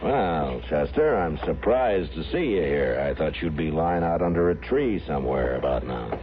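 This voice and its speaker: A man's voice: a bass voice, lively intonation, moderate pace, music in the background. A man playing a stern, weary frontier marshal in an old radio western, American and terse. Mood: exasperated. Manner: terse, firm, commanding. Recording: muffled, noisy old radio broadcast.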